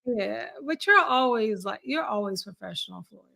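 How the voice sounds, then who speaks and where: A woman's voice: medium pitch, lively intonation, normal speed, creaky, sharp tone, dry recording. A woman from the US Eastern Shore chatting casually as a podcast co-host, blunt and colloquial, swearing freely. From Eastern Shore, United States. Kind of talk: casual group chat.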